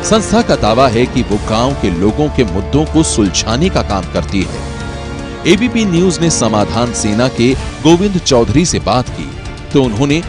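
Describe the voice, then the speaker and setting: Male news voice-over, mid-pitched and very animated, emphatic scripted delivery with a music bed. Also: moderate pace. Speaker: news report narrator. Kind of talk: dramatic scripted crime news narration. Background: background music.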